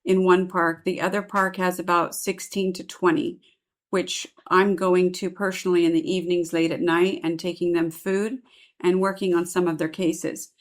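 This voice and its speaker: A woman's voice: fairly low pitch, level tone, normal speed. A woman hosting an indoor gallery conversation. She speaks fluently and at length, linking long sentences together without strain. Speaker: host, volunteer organizer. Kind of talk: interview conversation.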